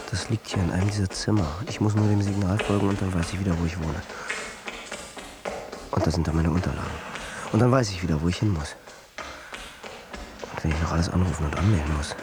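An actor in a radio drama playing a frantic, disoriented business traveller who curses and mutters to himself. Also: male voice, sits low, steady tone, fairly quick, long pauses, heavy background noise, lightly acted. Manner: agitated, cursing, muttering to himself. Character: frantic, disoriented male business traveller losing his grip.

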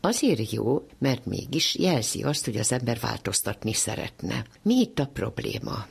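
A woman's voice: very deep voice, sing-song, gravelly, a little mellow, husky. An older woman, explaining as an expert in an emphatic, conversational way. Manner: emphatic, conversational, rhetorical questions. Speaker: psychologist, university professor.

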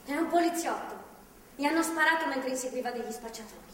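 A desperate young captive woman in a theatrical drama. Her high voice is emotional, bitter and confrontational. It is heard from a distance in a reverberant hall. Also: quick pace, fairly bright tone. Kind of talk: theatrical stage and radio drama acting. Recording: distant, echoey hall, faint noise.

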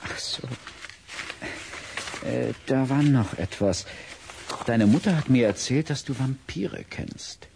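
An actor playing a big, kindly, patient child psychologist in an audio drama, gently probing and explaining. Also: male voice, medium pitch, expressive, takes it slowly, gravelly, long pauses, slight background noise. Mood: earnest, explanatory.

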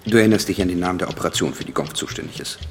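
An actor playing a sober, methodical investigator in a radio drama, laying out a conspiracy with probing questions. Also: male voice, low-pitched, rapid-fire. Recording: studio radio drama with music underneath. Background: music.

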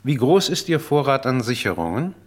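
A man's voice: medium pitch, very animated, moderate pace, slightly rough. Theatrical male detective. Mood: self-assured. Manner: theatrical, sprinkles speech with French phrases. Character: eccentric, theatrical male detective, courteous and probing. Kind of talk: radio play acting.

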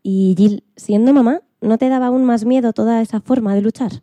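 A woman's voice: fairly high pitch, fairly quick, dark tone, no echo. A woman hosting a radio programme, putting scripted interview questions to a guest.

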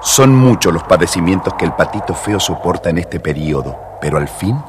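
A man's voice: low voice, animated, moderate pace, noisy background. A man narrating a scripted children's story expressively as storyteller.

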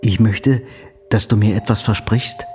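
A man's voice: lowish voice, steady tone, unhurried, a little mellow, faint music behind, lightly acted. An actor playing a stern, principled middle-aged father in a period radio drama, speaking formally and cautiously. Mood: grave, admonishing. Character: stern, principled older father of a plain family.

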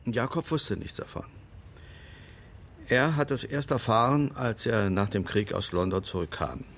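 Gravelly, low-voiced old lawyer. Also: male voice, fairly bright tone. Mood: measured, guarded. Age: older. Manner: measured, formal, pointed. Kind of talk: radio play acting.

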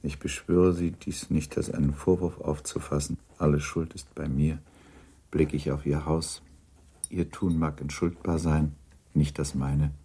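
An actor as the sober, restrained narrator of a period novel in a radio drama, measured, grave and understated. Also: male voice, a bass voice, little pitch movement, dark tone, slightly rough, full character voice.

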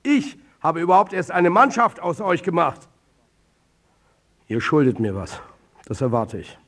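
An actor playing a defiant, cornered football coach in a radio drama, blunt and challenging, with a husky voice. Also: male voice, a little high, very animated, unhurried, a bit bright, heavily acted. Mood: reproachful, commanding.

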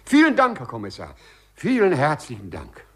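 An actor playing a polite, eccentric burglar in a formal, insistent, courteous manner, in a 1950s German radio play. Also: male voice, a little high, wildly swooping pitch, normal speed, a bit bright, a little hiss or hum. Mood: grateful. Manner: formal, insistent, courteous, savouring his words. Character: polite, eccentric male burglar begging to be arrested. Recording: 1950s radio drama, husky sound, faint music.

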